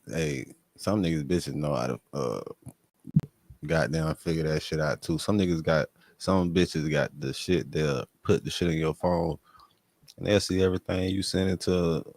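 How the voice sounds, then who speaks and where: Very deep, gravelly man talking slang. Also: normal speed, darkish tone, no room sound. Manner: profane, slangy street talk. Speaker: co-host on reaction show. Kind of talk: casual chat.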